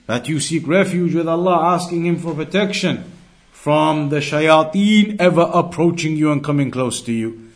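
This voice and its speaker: A man's voice: fairly high pitch, moderate pace. A man giving an Islamic lesson as a religious teacher, explaining in an instructive way and restating points for emphasis. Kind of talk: Islamic lesson, reading Arabic text and explaining it.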